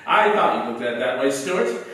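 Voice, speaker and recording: A man's voice: mid-range voice, lively intonation, normal speed, a bit bright, lightly acted. A man playing a self-righteous, insinuating small-town patriot in a staged 1940s-style radio play, full of leading questions. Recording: very echoey room, distant mic.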